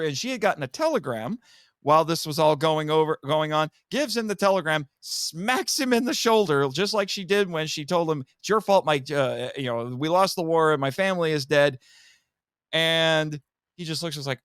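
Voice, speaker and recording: A man giving an animated, casual plot recap as a podcast guest, telling it dramatically and acting out the characters' lines. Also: fairly high pitch, clean tone, no echo, studio quality. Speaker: podcast guest reviewing a film.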